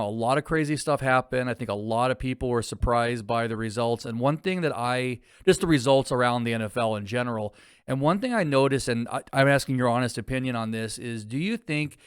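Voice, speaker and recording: A man's voice: mid-range voice, clean tone, no echo, studio quality. A man co-hosting a sports betting podcast, chatting casually and joking around. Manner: easygoing, joking. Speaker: podcast co-host.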